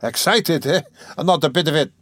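A man voicing a flustered, excitable young man who stammers and blusters in a dramatised audiobook reading. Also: mid-range voice, moderate pace, dry recording, voice pushed up. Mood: indignant. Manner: stammering, exclamatory, indignant.

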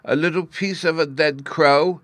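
A man's voice: mid-range voice, moderate pace, sharp tone, no echo, voice pushed up, close to an everyday voice. A man voicing an earnest, plaintive little boy in a storybook, easily upset and speaking in childish, babyish grammar. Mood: tearful, aggrieved.

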